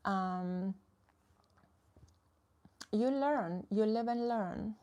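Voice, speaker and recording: A woman's voice: mid-range voice, drawn-out words, dark tone. A young woman, a social media coach chatting casually on a live stream, reading and answering viewer comments in a frank, filler-heavy way.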